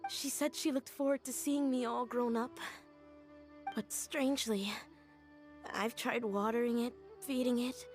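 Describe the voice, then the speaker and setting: Casting: actress as earnest, wistful woman in a game, higher-pitched voice, music playing behind. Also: fairly even intonation, unhurried, lightly acted. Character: earnest, wistful adult woman recalling her childhood tutor. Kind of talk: video game voice acting.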